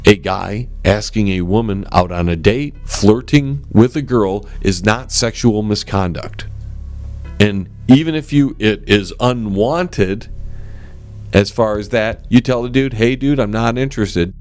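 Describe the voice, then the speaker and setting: A man hosting his own talk show, with a strong voice, delivering a forceful, animated opinion monologue straight to his listeners. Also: a little slow, faint music behind. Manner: animated and forceful, swings up in pitch; addresses listeners as ladies and gentlemen.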